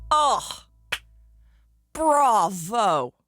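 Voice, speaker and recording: Slow, smug western outlaw woman, acted. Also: medium pitch, big pitch swings, fairly bright tone, no echo, studio quality, faint music behind, exaggerated voice. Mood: mocking, amused. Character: smug, mocking western outlaw, flamboyant and amused. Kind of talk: theatrical western audio drama.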